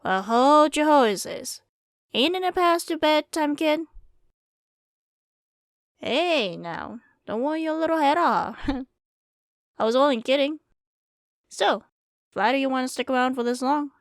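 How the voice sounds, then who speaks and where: Woman acting as old frontier saloon keeper, higher voice, folksy rambling storyteller, dry close sound. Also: lively intonation, takes it slowly, long pauses, no room sound, exaggerated voice. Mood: delighted, teasing. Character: folksy old Wild West saloon keeper, teasing and welcoming. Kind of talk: ASMR roleplay, storytelling to the listener.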